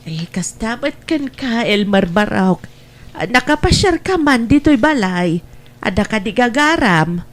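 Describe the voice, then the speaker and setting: A woman's voice: on the high side, big pitch swings, measured pace. A woman acts an older provincial mother in a family radio drama. She speaks in an anxious, lamenting way, like a parent fretting over a child.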